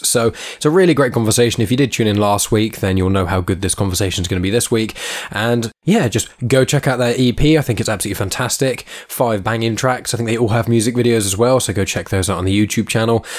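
Fast-talking man with a blocked nose. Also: on the low side. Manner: chatty, rambling. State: congested, blocked nose from hay fever. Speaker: podcast host. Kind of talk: casual podcast intro.

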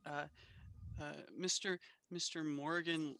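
A man's voice: on the high side, steady tone, takes it slowly, bright, forceful tone. A man playing a timid, aggrieved student in improv comedy, speaking hesitantly. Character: timid, aggrieved male student making a complaint.

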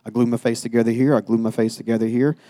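A man's voice: medium pitch, level tone, fast talker, dark tone. A man giving a sermon as personal testimony in an earnest, exhorting manner. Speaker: guest preacher giving a testimony.

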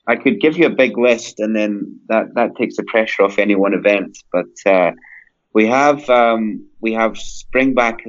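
A Scottish man with a deep, low-pitched voice talks as a podcast guest, eager and rambling, piling up long lists as he goes. His voice comes through a dull, lo-fi remote line that takes the brightness off the sound. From Scotland. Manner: enthusiastic, rambling, lists at length. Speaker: parkrun Canada guest. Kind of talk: conversational podcast interview.

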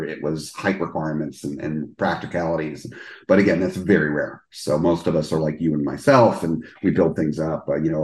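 A man's voice: sits low, darkish tone, roomy sound. A man hosting a podcast interview, chatting casually and rambling with many restarts. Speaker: podcast host.

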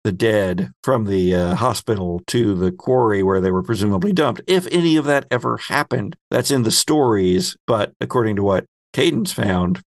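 A man hosting a tabletop roleplaying game as game master, narrating scenes deliberately and pausing mid-sentence as he improvises. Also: fairly low pitch, lively intonation, dry recording, studio quality.

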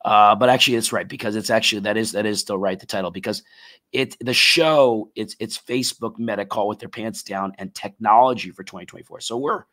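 Man, tech show host, slightly raspy, lower voice, rambling, filler-heavy. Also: fairly quick, a bit bright. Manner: rambling, many fillers and restarts. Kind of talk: casual live show hosting.

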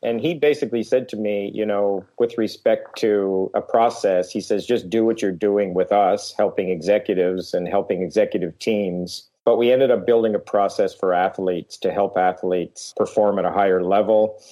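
A middle-aged man who is getting over a cold talks as a guest on an interview show. His voice sits low and sounds dark and mellow. He speaks in a relaxed, steady way and often pauses on "uh". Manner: relaxed, steady, with frequent uh fillers. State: recovering from a cold. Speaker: guest performance coach. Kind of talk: casual interview talk.

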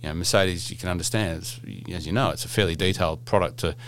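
A middle-aged man hosts a relaxed podcast chat in a low, deep voice. He rambles, jokes and restarts his sentences often, speaking in a loose, conversational way. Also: fast talker, slight background noise. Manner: chatty, rambling, jokey, many fillers and restarts. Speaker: podcast host. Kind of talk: casual podcast interview.

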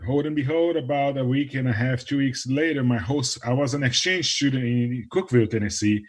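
Man, priest from Brazil, mid pitch, self-deprecating, quick bursts of speech. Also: husky. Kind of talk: casual conversation.

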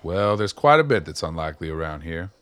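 A man playing a dapper, genial old fixer in a radio play, with courtly, folksy, unhurried phrasing. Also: sits low, sing-song, fairly bright tone, clear, resonant tone. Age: older.

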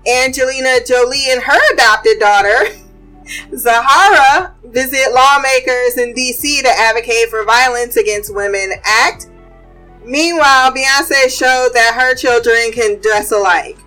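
High voiced woman host, bright and punchy, casual gossip chat w/ some music low in the back. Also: a little slow, low-quality recording. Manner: chatty, blunt, swears freely. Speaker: podcast host. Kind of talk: casual pop culture chat and fun facts.

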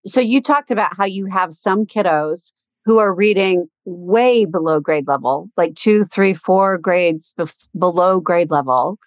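Lower-voiced woman podcast host, dry sound. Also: a little slow, no room sound. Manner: clear, scripted narration. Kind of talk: podcast narration and interviewing.

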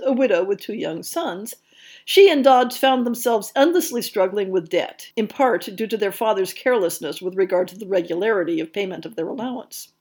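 A woman with a fairly high voice narrates a scripted history piece as a podcast host. Her intonation is very animated, rising and dipping across phrases, while her delivery stays measured and careful, like prepared storytelling.

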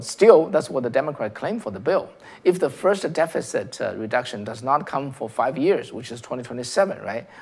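A man from China with a Chinese accent, hosting a political commentary stream and reading out viewer comments, talking in halting bursts with restarts and rhetorical questions. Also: medium pitch, gravelly.

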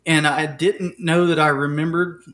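A man's voice: fairly high pitch, flat delivery, normal speed, fairly bright tone. A man, co-hosting a sports podcast, reminiscing with hesitant fillers. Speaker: podcast co-host. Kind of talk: casual sports chat.

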